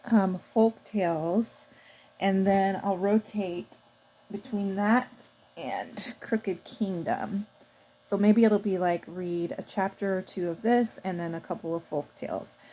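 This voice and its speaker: Woman vlogger with a mellow voice. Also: mid-range voice. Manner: chatty, informal, thinking aloud. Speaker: book vlogger. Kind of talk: casual vlog chat to camera.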